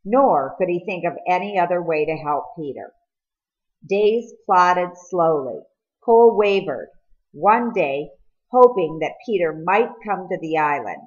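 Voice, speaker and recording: A woman's voice: fairly low pitch, muffled recording. A woman reading a storybook aloud as scripted audiobook narration. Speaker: audiobook reader.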